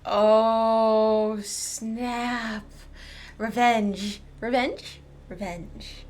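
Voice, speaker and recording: Slow-talking woman game streamer. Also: fairly high pitch, steady tone, darkish tone, slight background noise. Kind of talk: casual playthrough commentary and reading along.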